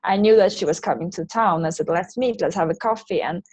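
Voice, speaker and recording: A woman's voice: lowish voice, a little fast, a little mellow, husky, no room sound. A woman from Sweden, speaking loosely phrased second-language English as a guest in a casual interview. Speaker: interview guest, online language teacher and entrepreneur.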